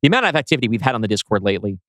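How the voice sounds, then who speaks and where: A man's voice: fairly low pitch, sing-song, very fast, fairly bright tone, dry recording, studio quality. A man co-hosting a podcast in casual chat, informal and rambling, with the odd mild swear. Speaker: podcast co-host.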